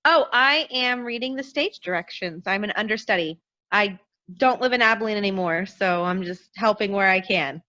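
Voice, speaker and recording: Young woman, bright voice, casual chat, lo-fi video call. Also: mid-range voice, normal speed, dry recording. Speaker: actor and understudy reading stage directions. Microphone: video call microphone. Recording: dull, lo-fi video call audio.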